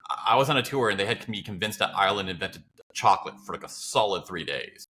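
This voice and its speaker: A man's voice: fairly low pitch, animated, fast, pushed and bright. An American man bantering casually as a co-host, playful and wry, swearing freely. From United States. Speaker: podcast co-host.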